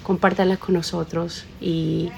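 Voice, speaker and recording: A woman's voice: lowish voice, a little slow, creaky, a little hiss or hum. A young woman from Ecuador with an Ecuadorian Spanish accent, co-hosting a casual lunch-break podcast and mixing English words into her talk. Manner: relaxed, mixes in English words. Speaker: podcast co-host. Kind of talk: casual chat. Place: kitchen break room.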